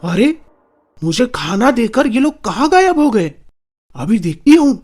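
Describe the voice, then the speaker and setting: A woman's voice: fairly high pitch, very animated, measured pace, over music. A woman playing a scheming, nosy older mother-in-law in a theatrical, complaining cartoon family drama style. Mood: curious, muttering. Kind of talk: animated family drama acting.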